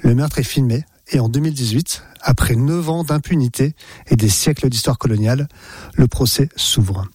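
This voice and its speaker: A man with a slightly husky voice reads the local events agenda on community radio. He sounds relaxed and hesitates often. His voice sits at a middle pitch and is recorded close and dry. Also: moderate pace, no room sound.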